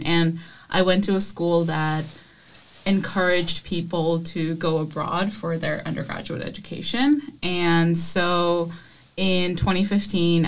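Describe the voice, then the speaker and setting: Young woman from nepal on a podcast, kinda low voice, thinks as she talks, lots of ums. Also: poor audio. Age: young adult. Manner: measured, thoughtful, with frequent um fillers. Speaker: assistant professor of chemical engineering, guest. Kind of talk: casual interview answers.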